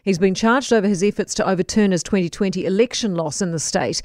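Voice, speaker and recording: A New Zealand woman presents radio news in a dry studio sound. Her voice sits at an average pitch as she introduces items and interviews a guest live. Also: fast talker, a little mellow, dry recording.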